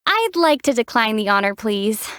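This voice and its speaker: A young woman voicing a calm, dry-witted heroine in a game, teasing her companion in a deadpan way. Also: on the high side, normal speed, clear, resonant tone, dry recording. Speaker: player character, traveler heroine. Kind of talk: game voice acting.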